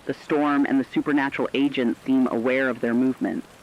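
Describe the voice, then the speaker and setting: A man playing a stern radio reporter, reading scripted horror news with a serious, deliberate, foreboding delivery. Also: medium pitch, fairly even intonation, highs cut off, slight background noise, close to an everyday voice. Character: stern radio reporter warning listeners of doom.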